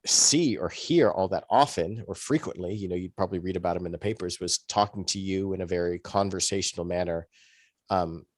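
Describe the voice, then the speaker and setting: A man's voice: low voice, darkish tone, dry recording, studio quality. A man speaking as a podcast panelist, explaining things in a rambling, conversational way. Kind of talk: conversational discussion.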